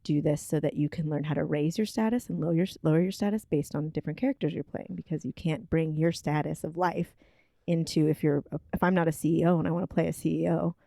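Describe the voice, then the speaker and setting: Low, gravelly woman co-host. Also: fairly even intonation, fast talker, warm tone, dry recording, studio quality. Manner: thoughtful, searching, with many fillers. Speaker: podcast co-host. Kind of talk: casual chat. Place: home kitchen table.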